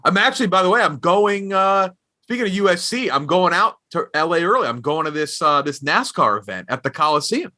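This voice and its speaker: A man's voice: high voice, expressive, a little fast, sharp tone. A man hosting a casual podcast interview, chatty, asking long questions that restart and circle around.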